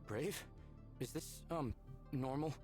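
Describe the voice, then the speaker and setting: A male actor plays an uneasy, polite space traveler in a visual novel. He talks hesitantly at a middling pitch, with faint music in the background. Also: slow pace, natural voice. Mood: awkward, uneasy. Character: nervous, hesitant man meeting an alien creature.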